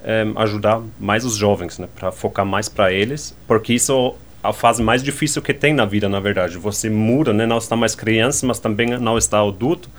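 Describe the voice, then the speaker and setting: A man's voice: fairly low pitch, a little fast, few pauses. A man whose first language is German, speaking Portuguese with a German accent and slightly off grammar, often tagging his sentences with questions. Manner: slightly ungrammatical, frequent tag questions. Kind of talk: conversational interview answers.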